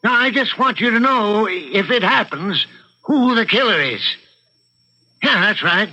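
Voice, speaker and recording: An actor playing a stern, suspicious, pedantic older husband of means in an old-time radio mystery. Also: male voice, high voice, animated, measured pace, bright, forceful tone, lo-fi sound, heavily acted. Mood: indignant, insistent.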